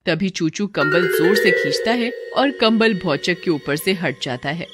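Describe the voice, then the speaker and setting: A woman narrating a cartoon story with lively, dramatic storytelling. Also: lowish voice, measured pace, over loud music.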